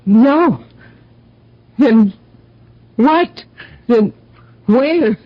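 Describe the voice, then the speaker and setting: A husky, slow, desperate noblewoman. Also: on the high side, lively intonation, dry recording, exaggerated voice. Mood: desperate, pleading. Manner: formal, pleading, dramatic. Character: grieving noble mother, proud and desperate. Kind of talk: 1970s radio drama acting. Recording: dull old radio recording.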